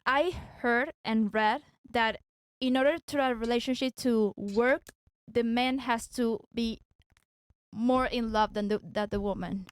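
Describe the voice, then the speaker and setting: Young woman from Venezuela, English, hesitant with restarts, higher voice, smooth clear tone, dry close sound. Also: a little slow, no echo. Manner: hesitant, searching for words, many restarts. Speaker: guest. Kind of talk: casual debate talk.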